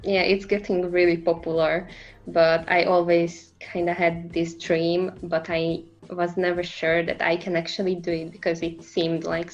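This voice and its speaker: Woman in her 20s, slow easygoing chat, lots of ums, kinda dull lo-fi sound with faint music. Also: on the low side. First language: Slovak and Hungarian. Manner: laughs often, hesitant fillers. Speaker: guest. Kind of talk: casual conversation.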